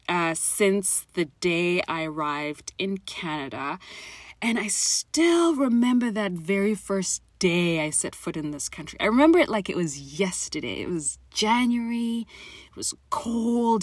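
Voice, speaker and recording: Woman, 30s, from Zimbabwe, podcast host, frank and profane, unhurried, dry close sound. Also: mid-range voice, no room sound. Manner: frank, profane, encouraging, talks straight to listeners. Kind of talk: personal podcast monologue.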